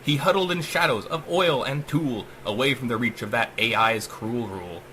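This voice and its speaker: A man's voice: mid-range voice, moderate pace, pushed and bright, slight background noise. A man giving a dramatic, theatrical audiobook narration of horror verse, with a sing-song rhyming cadence. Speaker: author reading own story.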